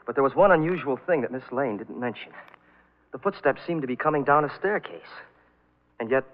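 An actor playing an earnest, anxious man in a 1940s radio murder mystery, with a hurried manner. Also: medium pitch, lively intonation, close to an everyday voice. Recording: muffled old radio broadcast.

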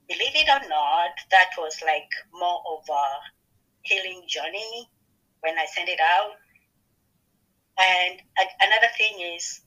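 A woman's voice: fairly low pitch, sharp tone, poor audio. A woman from Kenya, a podcast co-host, talking earnestly and reflectively about her faith and work. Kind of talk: faith-based podcast conversation.

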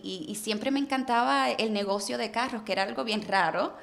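A woman's voice: fairly high pitch, a little fast, gravelly, fairly bright tone. Woman sprinkling in English words. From Puerto Rico and the United States. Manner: mixes English words into her Spanish. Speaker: interview guest, car business entrepreneur. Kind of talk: conversational interview storytelling.

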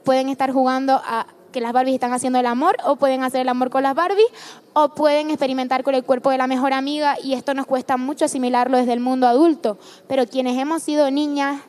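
A woman novelist speaking frankly and articulately on a panel, in long, steady sentences. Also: high voice, fast talker. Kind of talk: fluent panel discussion.

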